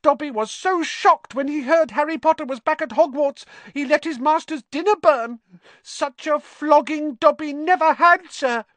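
A reader voicing a pitiful, servile male house elf who talks in a squeaky, fretful, pleading way. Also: normal speed, fairly bright tone, dry recording. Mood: anxious servile.

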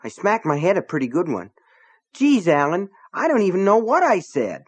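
A man's voice: huge pitch swings, normal speed, breathy edge, exaggerated voice. An adult man voicing a scrawny, nervous small-town deputy who talks in a shaky, defiant way. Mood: agitated. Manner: high-strung, trembly, defiant.

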